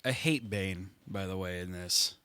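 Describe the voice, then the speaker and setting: A man's voice: deep voice, a little slow, bright, forceful tone, clean tone, no room sound. A man co-hosting a podcast in casual chat, congested with a head cold, joking and laughing often. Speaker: podcast co-host.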